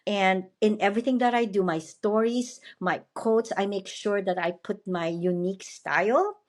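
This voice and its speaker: A woman's voice: medium pitch, normal speed. Woman, podcast host, chatty and upbeat, mid-range voice. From Philippines. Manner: chatty and upbeat, often asks "you know what I mean". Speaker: podcast host and motivational speaker. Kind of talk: casual interview chat.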